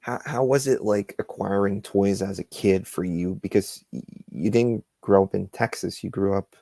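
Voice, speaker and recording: A man's voice: lowish voice, moderate pace, gravelly, dark tone, no echo, clean studio sound. A man in a casual chat, asking questions with hesitant restarts.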